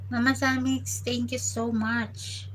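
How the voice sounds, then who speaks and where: A woman's voice: on the high side, flat delivery, slow pace, fairly bright tone, a little hiss or hum, rough recording. A young Filipino woman with a Filipino accent chatting playfully on a live stream, mixing English with Tagalog. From Philippines. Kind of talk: casual live-stream chat. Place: abroad, working in the Gulf.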